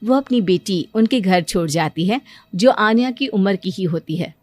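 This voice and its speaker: A woman's voice: mid-range voice, animated, moderate pace, no echo. A woman giving scripted narration for a cartoon story. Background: music.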